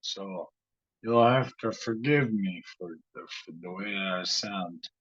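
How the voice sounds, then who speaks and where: A man, an author guest, with a hoarse voice from laryngitis, giving brief, halting replies over a video call. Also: on the low side, fairly bright tone. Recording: muffled video call audio.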